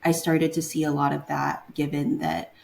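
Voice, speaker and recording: A young woman from Taiwan, a guest in an interview, talking casually and often pausing to search for words. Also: low-pitched, little pitch movement, gravelly, a little mellow, poor audio. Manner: hesitant, searching for words with many fillers.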